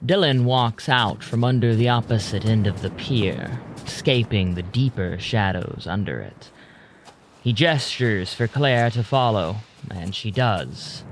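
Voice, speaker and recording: A man narrating a scripted audio drama in a measured, deliberate way. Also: lowish voice, lively intonation, slight background noise. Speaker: narrator, storyteller.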